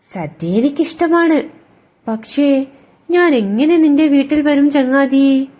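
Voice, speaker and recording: A woman voicing a clever, quick-witted male monkey in a children's story, full of exclamations, friendly yet able to scold. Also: sits high, a little mellow, pitched above the performer's own voice. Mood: eager, unsure.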